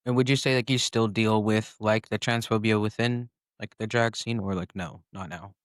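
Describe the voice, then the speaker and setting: A man's voice: on the low side, fairly even intonation, moderate pace, no echo, studio quality. A man hosting a podcast, asking questions hesitantly with many fillers. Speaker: podcast host. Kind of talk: casual interview questions.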